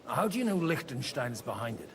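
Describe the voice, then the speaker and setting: An actor playing a courteous, direct young medieval envoy in a video game, earnest in manner. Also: male voice, mid-range voice, sing-song, quick pace, slight background noise, close to an everyday voice. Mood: persuasive. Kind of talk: video game dialogue acting.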